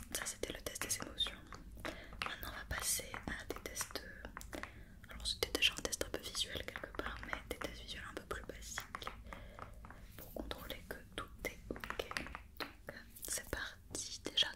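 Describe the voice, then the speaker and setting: A woman whispering an ASMR roleplay as a doctor, giving soft, repetitive, reassuring instructions.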